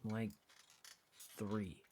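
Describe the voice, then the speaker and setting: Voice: medium pitch, steady tone, drawn-out words, dark tone, breathy edge. An actor in an audio drama playing a casual, curious young friend who talks in a questioning, sometimes hesitant way. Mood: dry, casual. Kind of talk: naturalistic audio fiction dialogue.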